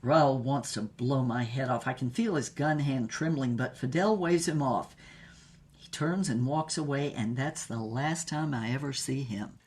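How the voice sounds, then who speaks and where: Slow, worldly old woman film star. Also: extremely low pitch, darkish tone. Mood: dramatic, tense. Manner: wistful, worldly, theatrical. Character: elderly woman film star recalling scandalous love affairs. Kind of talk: dramatic first-person storytelling.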